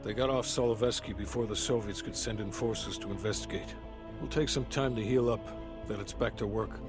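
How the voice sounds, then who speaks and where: An actor playing a hard-nosed, businesslike intelligence officer giving a matter-of-fact debrief in a video game. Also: male voice, fairly low pitch, moderate pace, lightly acted. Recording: music under voice. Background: music.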